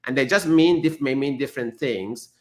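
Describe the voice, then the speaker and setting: A man whose first language is Persian, a physicist guest explaining ideas in an interview, thoughtful and halting with many restarts. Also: medium pitch.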